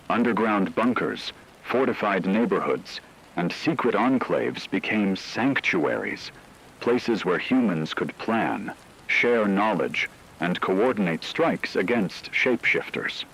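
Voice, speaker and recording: A man reads dramatic scripted narration in a solemn, measured way. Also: fairly low pitch, expressive, gravelly, fairly bright tone, husky, low-quality recording.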